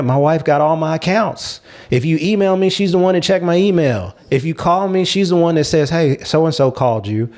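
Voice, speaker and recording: A man's voice: mid-range voice, darkish tone. Frank man in a casual panel talk.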